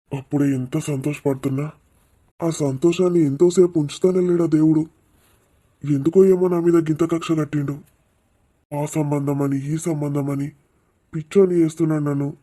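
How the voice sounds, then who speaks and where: A man's voice: on the high side, level tone, brisk, long pauses, dark tone, lightly acted. A man playing an exasperated middle-aged father in a cartoon. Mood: exasperated, scolding. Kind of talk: animated cartoon dialogue.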